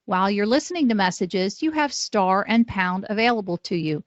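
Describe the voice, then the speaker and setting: A woman narrating instructional training, explaining clearly step by step. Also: medium pitch, dry recording, lo-fi sound. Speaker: software trainer.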